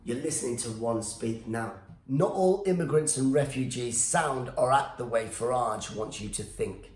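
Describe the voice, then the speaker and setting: A man's voice: mid-range voice, moderate pace, roomy sound. A male stand-up comedian, born in Iran with Farsi as his first language, delivering a sarcastic rant that keeps slipping into silly put-on voices.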